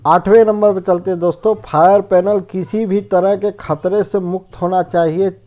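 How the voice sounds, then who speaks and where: A man's voice: high voice, steady tone, normal speed, warm tone. A man giving an informal technical lesson as an instructor, explaining checklist points and often rephrasing and defining terms. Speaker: fire safety instructor.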